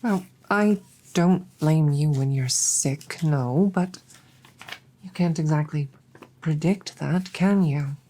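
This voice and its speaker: Woman voicing a low, soft, hesitant dragon girlfriend. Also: a little hiss or hum. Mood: guilty, apologetic. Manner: hesitant, halting, tender. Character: apologetic, self-blaming adult female dragon girlfriend. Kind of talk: slow roleplay audio drama for relaxation.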